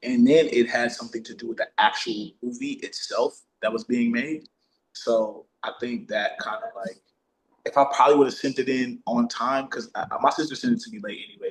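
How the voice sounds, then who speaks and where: A man's voice: medium pitch, poor audio. A man talking casually as an actor being interviewed, with slangy, sweary, bro-heavy phrasing. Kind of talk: casual chat.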